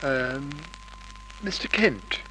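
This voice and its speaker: Anxious, bright-voiced film director. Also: male voice, medium pitch, expressive, extremely slow, full character voice, poor audio. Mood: polite. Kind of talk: 1950s radio drama acting. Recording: old radio recording, faint noise.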